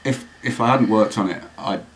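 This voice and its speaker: A young man with a posh London accent, chatting casually with dry, deadpan humor. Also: lowish voice, moderate pace, a little hiss or hum, poor audio. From England. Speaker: partner of the vlogger. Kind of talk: casual couple chat.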